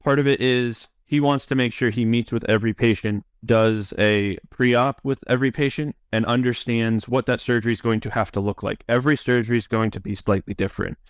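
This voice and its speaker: A man answering questions as a science officer on a conference call, explaining in a measured, conversational way. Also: mid-range voice, steady tone, clear, resonant tone, no echo.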